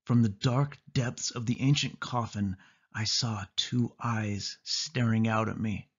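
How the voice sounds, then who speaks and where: A man narrating a suspense story in the first person, with expressive, dramatic delivery. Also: lowish voice, a little slow, husky, lo-fi sound. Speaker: audiobook narrator.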